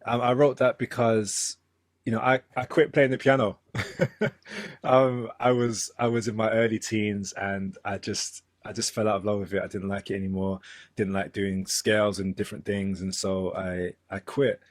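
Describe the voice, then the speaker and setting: A man with a London accent and a fairly low voice speaks as a guest on a video call. He talks in a relaxed, thoughtful way, pausing with ums and restarting his sentences. The sound is dry and close, with no room echo. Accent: London English. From London, England. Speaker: guest, musician and author. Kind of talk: relaxed conversational interview.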